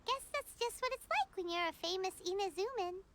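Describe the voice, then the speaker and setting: Super squeaky little fairy sidekick, anime game, excitable, really noisy behind her. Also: fairly quick. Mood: curious, puzzled. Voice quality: squeaky, childlike. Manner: speaks of herself in the third person, exclamatory. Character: tiny excitable childlike fairy companion, chirpy and snack-loving. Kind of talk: anime video game voice acting.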